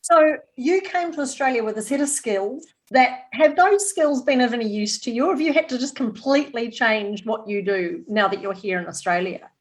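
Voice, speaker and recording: A woman's voice: fairly high pitch, moderate pace, hardly any pauses, poor audio. A woman hosting a podcast interview over a call, upbeat and encouraging. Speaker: podcast host. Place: remote call.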